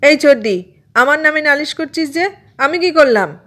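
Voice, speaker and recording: A woman's voice: high voice, slow pace, voice pushed up. A woman voicing a stubborn little boy who whines and protests, in a storybook reading. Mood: whining protest. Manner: whiny, protesting.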